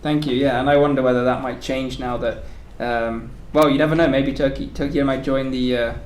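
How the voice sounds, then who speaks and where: A man's voice: medium pitch, fairly even intonation, fairly quick. A man moderating an informal panel discussion, phrasing his questions politely and tentatively. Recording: echoey room with faint noise.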